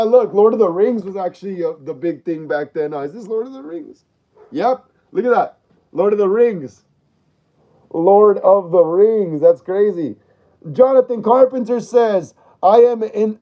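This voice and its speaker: A man in his thirties with a fairly high yet mellow voice, hosting a laid-back livestream. He reads out viewer comments and answers them in a repetitive, slangy way. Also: normal speed, highs cut off. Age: 30s. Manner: chatty and repetitive, uses slang like bro and dog. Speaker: livestream host. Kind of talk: casual live-stream chat reading viewer comments.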